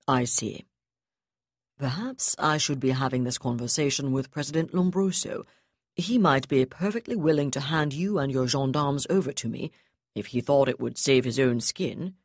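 A woman playing a male naval captain, measured, contemptuous, low voice, dry audiobook read. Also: slightly rough, no echo, heavily acted. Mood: coldly calm.

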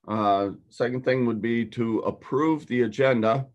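A man's voice: medium pitch, a little slow, highs cut off. A man chairing a board meeting over a video call, walking through the agenda item by item in a businesslike way.

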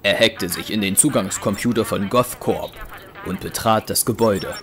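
Low-voiced male audio drama narrator. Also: normal speed, fairly bright tone, slight background noise. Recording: background music under narration.

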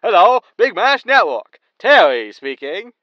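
An actor playing a brash, excitable tabloid radio announcer in a science fiction audio sitcom, with a loud, high voice. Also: male voice, measured pace, dull audio, background noise. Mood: startled, wary.

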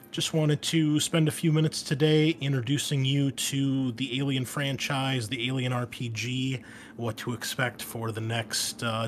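A man's voice: medium pitch, a little hiss or hum. A man speaking as a podcast host and game master, giving a casual introduction to his channel. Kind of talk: casual scripted-outline introduction to a gaming channel.

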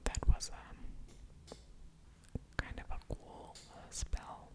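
Woman, whispered voice, very slow, soft mouth sounds, ASMR game commentary, murmured thinking aloud. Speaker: ASMR streamer playing a card game.

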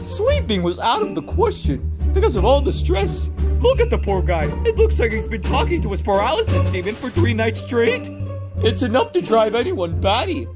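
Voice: mid-range voice, close to an everyday voice. A performer voicing a chatty, exasperated Christmas elf who tells a tale with wry asides in a cartoon comic dub. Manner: animated, wry, digressing into asides. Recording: music laid under voice.

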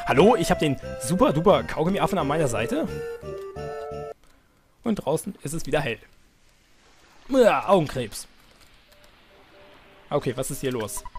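A man with a cold doing goofy, excitable let's-play commentary and reading game dialogue aloud. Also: on the high side. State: has a cold. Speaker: let's player. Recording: music playing behind voice.

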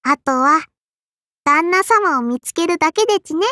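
A woman's voice: extremely high pitch, expressive, moderate pace, bright, forceful tone, no room sound, studio quality, exaggerated voice. A woman voicing a small, strict and prim sparrow-spirit girl in an anime game, with a childlike lisp and polite but scolding speech. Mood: prim, critical. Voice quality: childlike, lisping. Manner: polite but scolding, quirky verbal tic. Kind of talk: anime game character voice lines.

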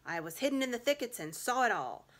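Woman voicing a frantic, high-pitched wolf messenger. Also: sing-song, fast, fairly bright tone. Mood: frantic alarm. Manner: urgent, alarmed.

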